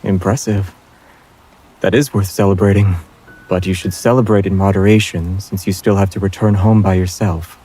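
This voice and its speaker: A man acting a calm, protective young man with understated, gently teasing deadpan delivery in a romantic audio drama. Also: deep voice, normal speed, breathy edge, faint music behind, low-quality recording. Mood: quietly concerned.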